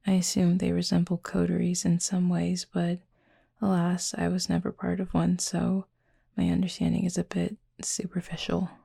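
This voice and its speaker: Woman as breathy, creaky-voiced, courteous cupid roommate. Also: lowish voice, monotone, dry recording, studio quality, exaggerated voice. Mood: curious, reserved. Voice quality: soft, breathy, husky. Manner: polite, formal, measured, earnest. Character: gentle, formal, curious supernatural cupid roommate. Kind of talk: intimate roleplay audio acting.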